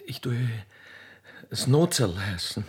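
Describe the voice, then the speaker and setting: A man voicing a naive, chatty railway keeper's daughter with an Austrian accent in a radio play, halting and repeating words eagerly. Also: mid-range voice, sing-song, husky, heavily acted. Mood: shy, eager. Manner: halting, repetitive, rustic and eager. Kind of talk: radio play character acting.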